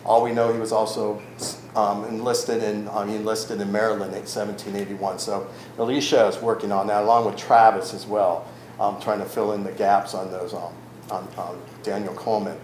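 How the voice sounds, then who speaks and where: A man giving an informal public talk as a records manager, loud-voiced, with many ums and self-corrections, speaking without a microphone in an event room. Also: fairly low pitch, little pitch movement, normal speed. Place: event room in a historic house. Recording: roomy echo with faint ambient noise.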